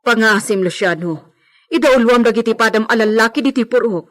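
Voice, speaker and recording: A woman acts a village servant in a radio play. She has a fairly high voice and sounds anxious and respectful. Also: fairly quick, fairly bright tone, natural voice. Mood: anxious, pleading. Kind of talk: Ilocano radio drama acting.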